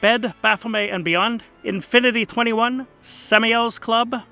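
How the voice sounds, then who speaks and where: A man voicing a bossy, impatient woman adventurer with brisk, emphatic delivery in a comic fantasy audio drama. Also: very high voice, steady tone, gravelly, bright, forceful tone, faint music behind, voice pushed up, exaggerated voice. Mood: brisk, exasperated.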